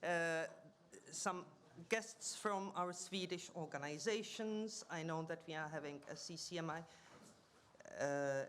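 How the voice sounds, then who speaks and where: A woman chairing a formal union meeting, welcoming guests and reading out speakers' names and titles with frequent pauses and fillers, speaking into a table microphone in a conference room. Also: low-pitched, fairly bright tone, a little hiss or hum.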